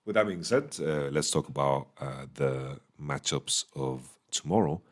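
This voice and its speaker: Very deep-voiced man, slightly hoarse. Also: lively intonation, measured pace. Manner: casual, many fillers, thinking aloud. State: voice going a bit hoarse. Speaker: esports analyst. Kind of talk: solo recap commentary. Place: small hotel room. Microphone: studio microphone.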